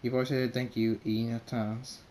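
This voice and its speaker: A man voicing a calm, mysterious male pegasus demon who speaks politely and softly. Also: medium pitch, moderate pace, a little hiss or hum, lightly acted. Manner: calm, polite, soft-spoken.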